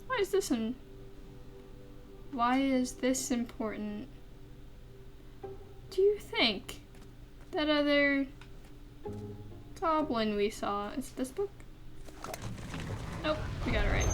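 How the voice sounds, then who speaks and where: A woman's voice: high voice, big pitch swings, takes it slowly, long pauses, over music. A woman giving casual let's play commentary, reacting aloud and talking to herself as she goes. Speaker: gaming video host.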